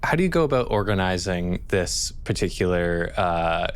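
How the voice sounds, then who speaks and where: Low-voiced man running a tabletop game. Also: expressive, smooth. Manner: halting, thinking aloud, repeats words. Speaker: game master. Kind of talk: improvised tabletop game narration.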